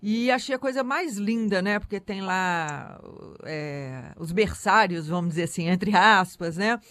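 Chatty interviewer with a Minas Gerais accent, mid pitch, dry studio sound. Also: lively intonation, unhurried, sharp tone, no room sound. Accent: Minas Gerais Portuguese. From São João Nepomuceno, Minas Gerais, Brazil. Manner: chatty, folksy, trails off and restarts mid-phrase. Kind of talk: conversational interview.

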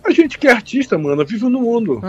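A man chatting as an interview guest, husky and halting, often repeating words and adding tag questions. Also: very high-pitched, sing-song, darkish tone. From Bragança, Pará, northern Brazil. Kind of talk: casual interview answers. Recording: dull lo-fi line, music behind.

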